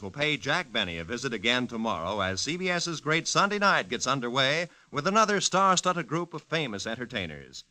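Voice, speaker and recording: A man's voice: on the high side, sharp tone, poor audio. A man working as a 1940s network radio announcer, reading a scripted promotion.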